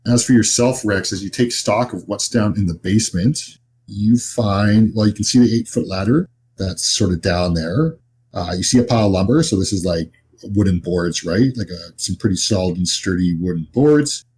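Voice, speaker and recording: A man's voice: fairly low pitch, moderate pace, darkish tone, a little hiss or hum. A man narrating as game master of a tabletop horror roleplaying game, describing scenes in a conversational, improvised way. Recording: music behind the voice. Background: music.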